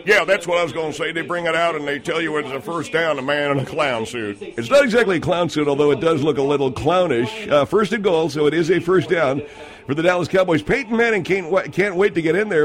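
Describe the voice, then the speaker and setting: A man doing a comedic football play-by-play, rambling with many fillers and digressions. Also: a little high. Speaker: sports announcer.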